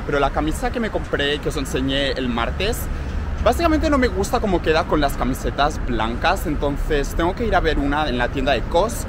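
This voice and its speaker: Chatty young man vlogging on city streets. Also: on the high side. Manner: chatty, upbeat, talks straight to viewers. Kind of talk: casual vlog chat. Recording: street noise behind voice. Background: street and traffic noise.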